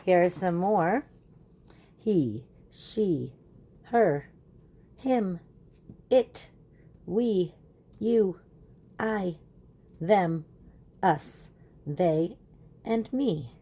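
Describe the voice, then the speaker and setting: A woman's voice: on the low side, expressive, very slow, pauses often, dark tone. A woman giving a children's lesson and reading a storybook aloud, instructive and asking her listeners questions. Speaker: teacher reading a picture book aloud.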